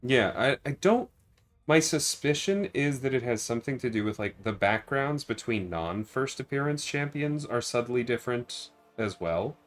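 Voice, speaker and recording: A man's voice: medium pitch, animated, normal speed. A man hosting a casual gaming livestream, thinking aloud in a rambling way as he chats to viewers. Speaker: livestream host.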